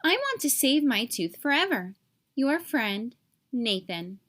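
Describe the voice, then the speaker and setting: A woman's voice: high voice, big pitch swings, takes it slowly, a bit bright, full character voice. A woman voicing a stubborn, earnest young boy who is easily indignant, in a children's storybook. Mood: determined. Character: stubborn young boy guarding his lost teeth.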